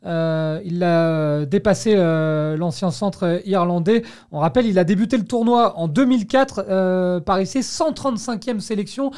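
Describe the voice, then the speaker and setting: A man's voice: high voice, measured pace, hardly any pauses, a little mellow, smooth. A man hosting a sports talk discussion on the radio. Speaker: radio host.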